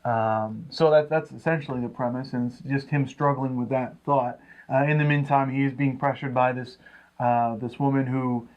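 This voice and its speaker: A man's voice: mid-range voice, steady tone, normal speed. A man co-hosting a comics review show, chatting casually with hesitant, rambling phrasing. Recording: dull lo-fi sound.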